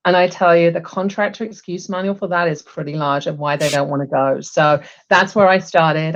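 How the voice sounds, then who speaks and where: A woman's voice: sits low, dull audio. A middle-aged woman from England, chatting upbeat as an interview guest over a video call, with many ums. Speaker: guest, HR executive. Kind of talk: casual interview talk. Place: home room, video call.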